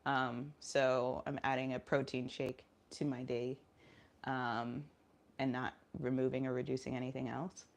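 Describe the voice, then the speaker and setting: A woman chatting casually in a vlog, low on energy and nasal from a cold, rambling with lots of fillers and talking through squats. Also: flat delivery, measured pace, vocal fry. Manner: talking while doing squats. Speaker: vlogger. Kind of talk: casual chat vlog, streaming live.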